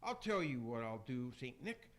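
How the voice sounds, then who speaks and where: A man playing an excitable, repentant Roman emperor with effusive, rhyming speech in amateur radio theater. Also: mid-range voice, normal speed, close to an everyday voice. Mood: astonished, grateful.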